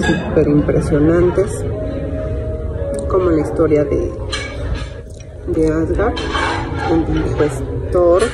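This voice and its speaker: A woman's voice: low-pitched, low-quality recording. A young adult woman narrating a casual travel vlog in an enthusiastic, chatty way. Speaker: travel vlogger. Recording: loud restaurant crowd noise.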